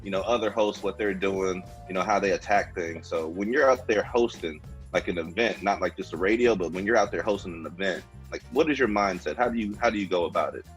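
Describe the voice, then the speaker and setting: Low-voiced man co-hosting radio. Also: brisk, hardly any pauses, faint music behind, poor audio. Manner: slangy, informal, addresses listeners. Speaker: radio show co-host and event host. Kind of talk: casual live radio interview.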